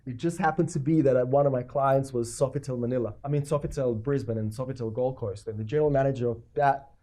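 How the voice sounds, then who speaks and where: A man's voice: medium pitch, fast, dark tone. A man in his forties from Croatia, a guest telling his story in a casual interview, with many fillers and repeated starts. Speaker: festival founder, guest.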